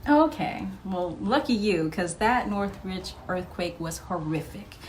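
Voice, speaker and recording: A woman's voice: mid-range voice, lively intonation, unhurried, slight background noise. A woman telling anecdotes in a casual, chatty way as a guest on a podcast recorded live. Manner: chatty, often laughing as she tells stories. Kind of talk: casual anecdotal interview storytelling.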